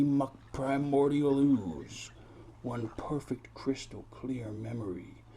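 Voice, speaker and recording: A man's voice: medium pitch, mellow, slight background noise, natural voice. A man playing a world-weary, brooding private detective in a noir audio drama, narrating in florid, rambling, repetitive phrases.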